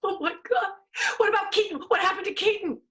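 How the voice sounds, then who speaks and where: Woman playing a high-voiced, tormented stage manager. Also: fairly quick, a bit bright. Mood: distraught. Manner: overwrought, repeating words for drama. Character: tormented, melodramatic stage manager with dark blackouts. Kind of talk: improvised radio soap melodrama.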